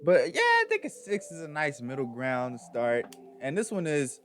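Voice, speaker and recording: A man hosting a reaction video with casual, informal, slangy and expressive commentary. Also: on the high side, moderate pace, a little hiss or hum.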